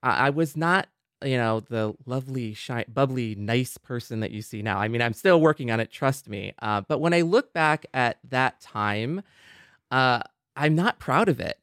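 A man's voice: mid-range voice, lively intonation, normal speed, fairly bright tone, no echo, clean studio sound. A man with an American accent co-hosting a casual podcast, agreeable and self-deprecating as he talks things through. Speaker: podcast co-host.

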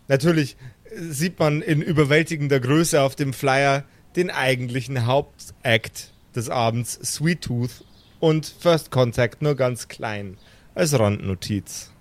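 A man's voice: mid-range voice, no room sound. Dry-sounding man narrating as game master. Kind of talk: improvised tabletop game narration.

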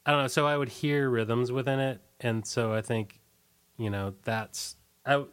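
A man being interviewed in a casual, rambling way, halting and often restarting his sentences. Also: mid-range voice, fairly even intonation, smooth. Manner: rambling, halting, many false starts. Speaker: interview guest, punk band singer. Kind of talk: casual conversational interview, recollecting.

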